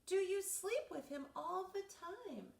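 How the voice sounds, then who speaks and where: A woman's voice: very high-pitched, animated, takes it slowly, creaky, voice pushed up, full character voice. A woman voicing an eager, pestering young boy at a sleepover in a storybook, with insistent, exaggerated questions. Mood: insistent, curious. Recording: roomy echo, distant mic.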